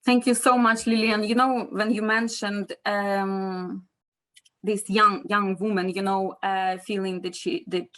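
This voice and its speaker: A middle-aged woman, an educator, asking questions gently and hesitantly in a reflective dialogue, with many pauses and fillers. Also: mid-range voice, level tone. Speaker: educator and interviewer.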